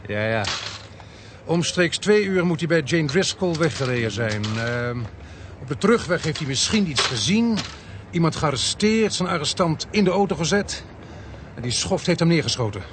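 A man playing a grim, determined police inspector in a radio drama, giving clipped orders and pausing as he thinks. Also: on the high side, very animated. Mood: brooding, businesslike. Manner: authoritative, clipped orders with hesitant pauses. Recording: noisy, street and traffic ambience.

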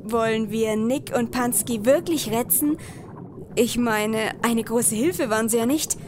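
A woman's voice: on the high side, a little slow, noisy background, natural voice. A woman playing a feisty, impatient female spellcaster with a scornful, exclamatory manner in a comedic radio play parody. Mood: doubtful. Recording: music bed and effects behind voice.

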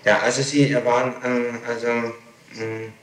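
A man questioning someone in a police interrogation, methodical and insistent, speaking haltingly with pauses. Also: medium pitch, flat delivery. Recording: echoey, noisy 1960s archive tape.

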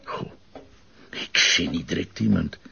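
Older flemish gent, really husky n gravelly, halting, polite, lively pitch, tv drama. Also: male voice, dull audio, heavily acted. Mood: pondering. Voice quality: husky, creaky. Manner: hesitant, halting. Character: obliging older male witness, courteous, eager to help.

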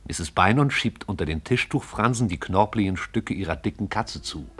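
A man with a lowish voice narrates a radio play. He reads in a measured, poetic storytelling way. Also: expressive, a bit bright, husky.

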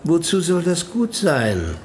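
An actor playing a bored, haughty German prince in a radio play, dry, dismissive and mocking. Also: male voice, on the high side, huge pitch swings, slow, a little hiss or hum, heavily acted. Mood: bored disdain. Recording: studio drama with music behind.

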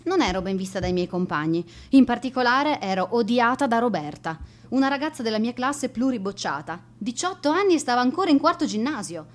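An actress playing a lonely outcast high school girl in a radio drama monologue, confiding in a wry, self-deprecating way. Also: big pitch swings, a little fast, few pauses. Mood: rueful.

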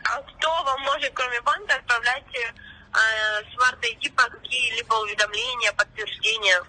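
A woman on a thin phone line speaks in a sharp, very bright tone. She runs through a formal call-center script as a fake bank officer, pushy and insistent. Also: fairly high pitch, normal speed, slight background noise.

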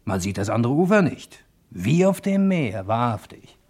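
An actor playing a boastful private detective who gets flustered by women, in a German radio crime drama. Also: male voice, mid-range voice, big pitch swings, moderate pace, darkish tone. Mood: smug, confiding. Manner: hesitant and bumbling with women, smug when narrating.

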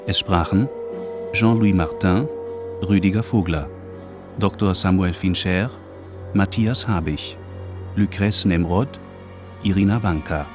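A man reading a scripted radio drama announcement, formal and measured, with music behind him. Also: sits low.

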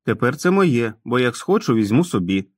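A man's voice: mid-range voice, big pitch swings, normal speed, no room sound, clean studio sound. A man voicing a simple-minded, childlike grown man who talks eagerly and trustingly about himself. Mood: stubborn.

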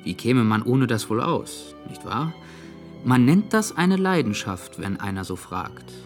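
An earnest, lovesick young gentleman in a radio drama. His voice sits at a middle pitch, and he muses at length in a phlegmatic yet pleading way. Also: very animated, a little slow, soft background music. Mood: musing, plaintive.

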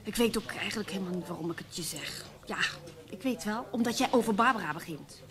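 An actress in a radio play playing an outspoken, self-assured woman who is brisk and dismissive with her lover. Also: mid-range voice, lively intonation, fairly bright tone, close to an everyday voice. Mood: impatient. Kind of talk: naturalistic radio play dialogue.

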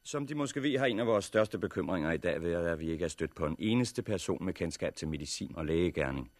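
An actor playing a composed chairman of a survivors' meeting in a 1960s radio drama, speaking formally, courteously and in measured phrases. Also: low-pitched, animated, dry recording, clean studio sound. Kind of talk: 1960s radio drama acting, public address.

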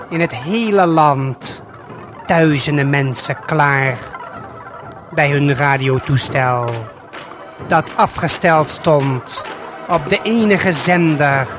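A man narrating an audio play in measured short phrases, speaking straight to the listener with deliberate pauses. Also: on the high side. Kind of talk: slow scripted storytelling addressed to the listener. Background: prominent music.

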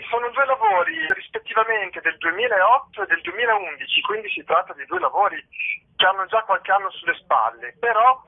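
A theatre man speaks as an interview guest over a tinny telephone line. His voice sits fairly high and swings in an animated way, while he thinks aloud and hunts for the right word. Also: a little fast, creaky. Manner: reflective, hesitant, searching for words. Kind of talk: spontaneous interview talk, explaining work. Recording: narrow tinny phone line.

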